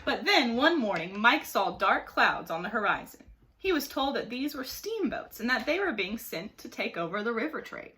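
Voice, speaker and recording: Bright, very animated woman storyteller. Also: a little high, normal speed. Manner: expressive, dramatic emphasis. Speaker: teacher reading aloud. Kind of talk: animated storybook narration for children.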